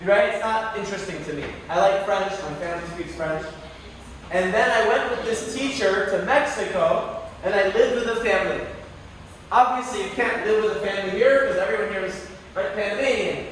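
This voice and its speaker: High-voiced man in an echoey classroom. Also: fairly quick, a bit bright. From United States, near New York City. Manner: chatty, rambling, many fillers and self-corrections. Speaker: guest speaker. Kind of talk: casual classroom talk with questions to students. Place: university classroom. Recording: echoey room, distant mic, faint noise.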